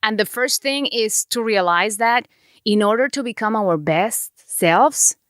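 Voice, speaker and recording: A woman's voice: medium pitch, animated, a little slow, a bit bright, no room sound, clean studio sound. Earnest Mexican-accented woman podcast host. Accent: Mexican Spanish. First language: Spanish. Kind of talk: reflective motivational monologue.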